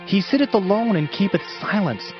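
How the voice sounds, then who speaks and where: Guy reading the bible all solemn and dramatic, voice a bit high, kinda dull lofi sound. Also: animated, normal speed. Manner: solemn, declamatory. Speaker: scripture narrator. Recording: dull lo-fi audio with music behind. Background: music.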